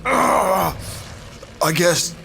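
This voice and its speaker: An actor voicing a weakened male fighter in a game, strained and halting. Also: high voice, expressive, creaky, sharp tone, slight background noise, heavily acted.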